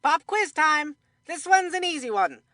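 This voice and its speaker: A woman's voice: high-pitched, sing-song, measured pace, bright, forceful tone, dry recording, clean studio sound, exaggerated voice. A woman voicing a chirpy, folksy kid baseball commentator and trivia buff in a video game, with an exclamatory announcer delivery. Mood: excited quizmaster. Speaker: sports commentator.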